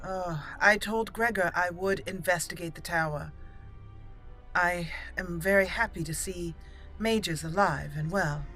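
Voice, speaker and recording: A woman hosting a roleplay game playthrough, commenting and reading aloud deliberately as she thinks. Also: fairly low pitch, a bit bright. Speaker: let's play host. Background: game music.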